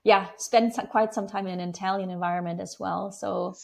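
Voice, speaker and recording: A woman in her forties from Germany, a business executive answering interview questions in a measured, thoughtful way, speaking through earphones on a video call. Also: mid-range voice, fairly even intonation, creaky, a little mellow. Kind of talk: conversational interview. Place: home room with slanted ceiling window, indoors. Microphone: wired earphone mic on a video call.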